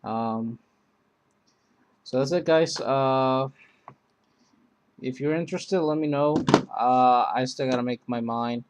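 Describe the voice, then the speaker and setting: A man hosting a casual, unscripted product review, speaking in a halting, rambling way that often circles back on itself. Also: medium pitch, noisy background. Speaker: video host reviewing gear.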